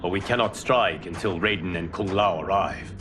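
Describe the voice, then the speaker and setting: A composed heroic leader. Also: male voice, deep voice, normal speed, fairly bright tone, a little hiss or hum. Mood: earnest. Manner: composed and earnest. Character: calm male protector and leader of heroes. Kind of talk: video game cutscene acting. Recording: game audio with music bed.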